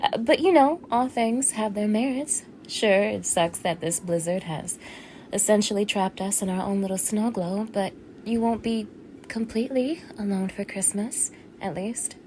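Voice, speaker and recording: A woman's voice: mid-range voice, moderate pace, a little hiss or hum, lightly acted. A woman acting in an intimate audio roleplay as a teasing, fake-friendly young neighbour with obsessive menace under her casual, coaxing talk. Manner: casual, coaxing, teasing, with small laughs and hushed asides.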